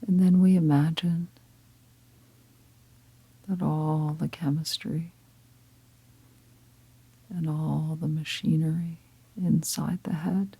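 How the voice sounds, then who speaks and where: Low, husky, half-whispering woman guiding meditation. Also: poor audio. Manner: calm, gentle, unhurried with long pauses. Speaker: meditation guide. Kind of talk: guided meditation, slow soft-spoken instruction.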